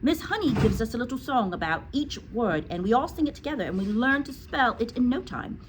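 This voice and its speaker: A woman's voice: a little high, very animated, a little fast, slight background noise, pitched above the performer's own voice. Woman as a piping, eager little schoolboy. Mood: eager, cheeky.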